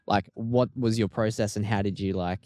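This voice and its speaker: A man co-hosting a podcast, asking relaxed, rambling questions full of fillers. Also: fairly low pitch, moderate pace, dry recording, studio quality. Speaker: podcast co-host. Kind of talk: casual interview questions.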